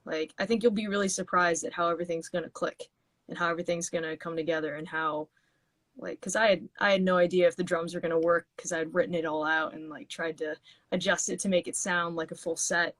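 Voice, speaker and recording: A woman's voice: lowish voice, steady tone, fairly quick, a bit bright, dry recording. A woman musician, chatting on a video call as an interview guest, talking in loose, rambling sentences with many restarts. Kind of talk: casual interview chat.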